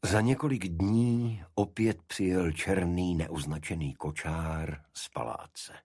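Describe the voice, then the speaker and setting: A man reading a detective story as an audiobook narrator, in a scripted literary style with a husky voice. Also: deep voice, darkish tone, no echo, clean studio sound.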